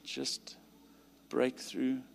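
South African preacher in a reverberant hall. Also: male voice, medium pitch, monotone, very slow, gravelly, slight background noise. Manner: rising emphatic delivery, quiet pleading prayer. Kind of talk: sermon with altar call. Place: large church hall.

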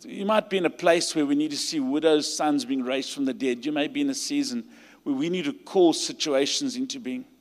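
A man with a South African accent preaching a sermon and leading a call to stand, his delivery rising to emphatic peaks and dropping to quiet pleading prayer. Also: fairly high pitch, fairly quick. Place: large church hall. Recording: long hall reverb.

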